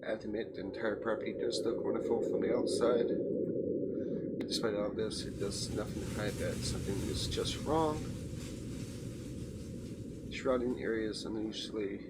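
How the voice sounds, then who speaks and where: Loud background noise, gravelly male voice, let's play narration, stumbling read, casual asides. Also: on the low side, moderate pace, long pauses. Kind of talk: reading game narration aloud with casual asides.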